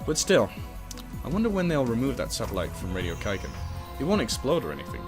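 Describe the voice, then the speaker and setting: A man's voice: mid-range voice, huge pitch swings, fast, over music. A man hosting a let's play, reading game text aloud and breaking into jokey, exclamatory asides. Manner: jokey, exclamatory, swings between reading and goofy outbursts. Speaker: host of a game playthrough series.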